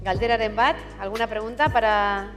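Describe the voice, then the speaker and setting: A woman's voice: a little high, moderate pace, big-room sound, soft background music. A woman hosting a live cooking contest, upbeat and chatty, slipping in phrases. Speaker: contest presenter. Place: auditorium stage.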